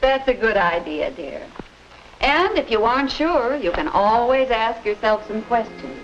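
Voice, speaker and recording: An actress playing a gentle, wise middle-aged American mother giving measured, instructive advice in a 1950 educational film. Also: a little high, animated. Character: gentle, wise mother advising her daughter. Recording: dull vintage film sound, music behind.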